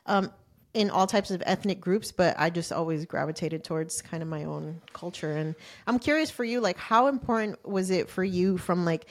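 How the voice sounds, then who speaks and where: A woman with a slightly low voice hosts a casual conversation. She puts questions and comments informally and frankly, as one would with a friend. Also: normal speed. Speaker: podcast host.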